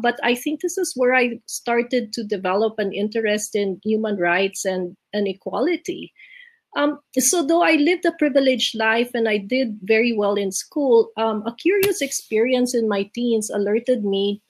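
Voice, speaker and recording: A middle-aged woman from the Philippines tells her life story at a virtual awards event, thoughtful and unhurried, with many ums and restarts. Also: on the high side. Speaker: leadership award speaker. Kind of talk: personal life story talk. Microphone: video call.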